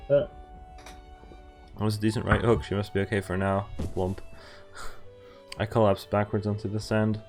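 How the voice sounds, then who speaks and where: A man's voice: on the low side, level tone, moderate pace, pauses often, a little mellow. A man streaming a visual novel, reading the text aloud and adding casual commentary. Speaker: game streamer. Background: faint game music.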